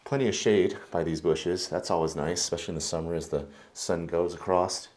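A man giving a relaxed, chatty walkthrough of a house for sale, moving between rooms and the backyard as he films. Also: low-pitched, fairly quick. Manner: relaxed, chatty, lots of filler words. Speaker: real estate agent giving a home tour. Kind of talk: casual walkthrough narration. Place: house, indoors and outdoors.

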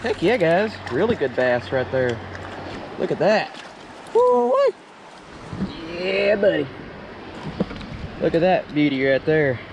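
Excited young man by a river. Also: fairly high pitch, vocal fry. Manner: excited, folksy exclamations. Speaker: fishing vlogger. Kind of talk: casual narration while fishing. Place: outdoors wading in a river. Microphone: head-mounted action camera. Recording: outdoor camera mic with rushing water noise.

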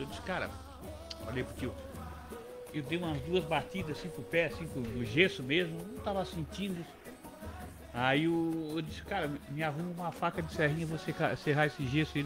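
A man in his fifties with a southern Brazilian accent telling stories casually on a podcast, emphatic and repetitive. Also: on the high side. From Criciúma, southern Brazil. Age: 50s. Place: small indoor podcast studio with several people at a table. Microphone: close studio mic on boom arm.

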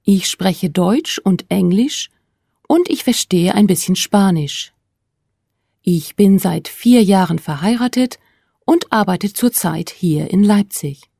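A woman reading a scripted self-introduction slowly, with careful, deliberate pronunciation. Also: medium pitch, animated, vocal fry, dark tone, slightly rough, no room sound, clean studio sound.

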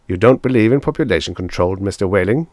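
A man's voice: lowish voice, lively intonation, normal speed, a little mellow, no room sound. A man voicing a pompous, hearty elderly male doctor in an emphatic, condescending, lecturing way for an audiobook. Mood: stern, emphatic. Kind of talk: audiobook character acting.

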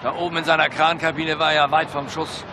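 A man's voice: fairly high pitch, level tone, fairly quick, lightly acted. An actor playing a blunt, grudging male construction-site employee under questioning in a radio play. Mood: grudging, gossipy. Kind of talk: German radio play acting. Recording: noisy outdoor site with vehicle ambience.

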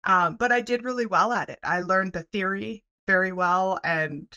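A woman in her thirties from Canada, chatting as a podcast guest over a video call on a headset, friendly and quick to laugh. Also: mid-range voice, bright, forceful tone. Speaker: guest, welding program head. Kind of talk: casual interview chat. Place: home room, video call.

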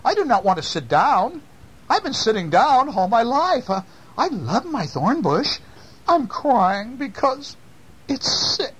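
A woman voicing a fretful, tearful old lady from a children's storybook, with a wavering, drawn-out delivery. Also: very animated, slightly rough, exaggerated voice, low-quality recording. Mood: tearful, plaintive. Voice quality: high, wavering.

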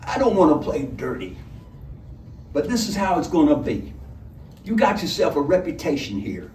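A threatening, folksy highway robber from a storybook, acted out by a man reading aloud, lays down rough orders in a raised pitch. The sound is roomy and set back from the microphone. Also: very animated, moderate pace, a little mellow. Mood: menacing. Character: menacing, swaggering male highway robber making rough threats. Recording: roomy, distant microphone, some noise.

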